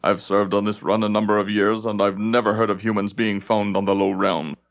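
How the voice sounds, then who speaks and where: A man's voice: on the low side, little pitch movement, fairly quick, dull audio, natural voice. A man voicing a cautious, dutiful elven lieutenant with restrained, formal speech in a fantasy audiobook. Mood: wary. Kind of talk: audiobook character acting in a fantasy story.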